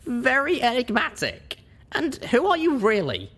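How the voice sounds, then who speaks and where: A man's voice: very high voice, wildly swooping pitch, unhurried, fairly bright tone, pitched above the performer's own voice, full character voice. A man voicing a young female pony wanderer who speaks with annoyed, challenging sarcasm in an audiobook story. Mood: sarcastic, challenging.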